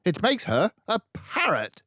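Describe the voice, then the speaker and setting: A furious, domineering storybook headmistress, acted out by a man. The voice is pushed high and loud, leaping about as it snorts and bellows. The recording is slightly muffled and dry. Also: wildly swooping pitch, unhurried, no echo, exaggerated voice. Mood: outraged. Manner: barking, bellowing, snorting contempt. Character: tyrannical, furious, bullying headmistress, a huge middle-aged woman. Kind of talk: children's audiobook character voice.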